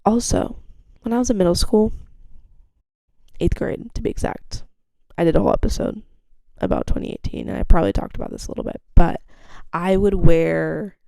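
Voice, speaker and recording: A young woman chats on her own podcast, rambling, giggling and swearing freely. Her voice is gravelly and mellow. The sound is dry and close. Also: normal speed, pauses often, no echo. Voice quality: gravelly low-toned voice. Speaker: podcast host. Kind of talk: casual solo chat.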